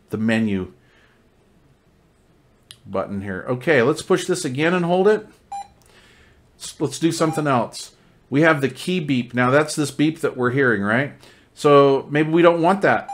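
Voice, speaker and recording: A man talking casually through a hands-on product demonstration, explaining features step by step as a reviewer. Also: medium pitch.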